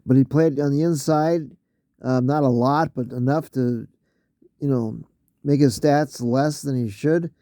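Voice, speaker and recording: A man losing his voice, talking casually through a solo mock draft, halting with fillers and often clearing his throat. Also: fairly high pitch, mellow, no echo, studio quality.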